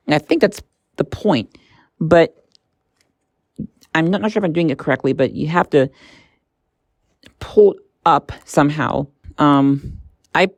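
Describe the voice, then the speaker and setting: A woman with a low-pitched voice hosts a casual gadget review. She talks in a hesitant, rambling way, feeling out each point as she goes. The sound is dry and close, with very little room in it. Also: darkish tone, dry recording. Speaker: product reviewer and channel host. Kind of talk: casual tech review vlog.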